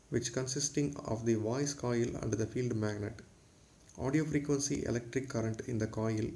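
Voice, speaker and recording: A man's voice: medium pitch, warm tone. A man giving a formal, scripted lecture, read aloud in a textbook-like way.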